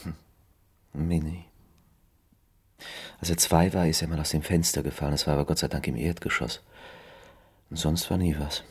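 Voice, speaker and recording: An actor playing a downtrodden, insecure, lovelorn private detective in a naturalistic radio crime drama, speaking hesitantly. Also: male voice, extremely low pitch, little pitch movement, slightly rough, exaggerated voice. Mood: dejected, reflective. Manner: hesitant, halting.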